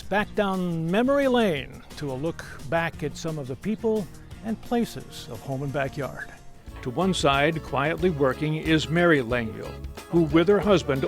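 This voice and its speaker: A man hosting a TV feature show, narrating scripted segments in a folksy, reflective storytelling style. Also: on the high side. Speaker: TV show host.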